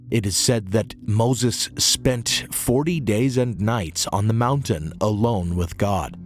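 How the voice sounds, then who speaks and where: A hoarse man narrating a history podcast in a measured, earnest way. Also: on the low side. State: hoarse, recovering from illness. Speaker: podcast host.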